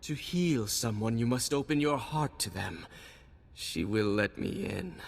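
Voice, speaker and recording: A man playing a bitter, defiant Sith villain in a video game, taunting with theatrical, drawn-out menace. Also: mid-range voice, lively intonation, vocal fry, husky, in a large hall, exaggerated voice. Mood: sullen menace.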